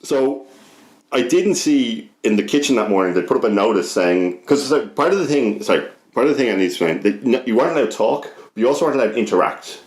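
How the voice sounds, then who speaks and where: A man's voice: mid-range voice, animated, quick pace, echoey room. A young Irish man telling a personal story casually as a podcast guest, sweary, rambling and often interrupting himself.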